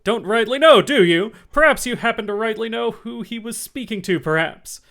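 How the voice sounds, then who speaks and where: A man voicing a hearty, cunning one-legged pirate innkeeper who blusters loudly. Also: high-pitched, very animated, moderate pace, voice pushed up, heavily acted. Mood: blustering. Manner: loud, blustering sea-dog talk.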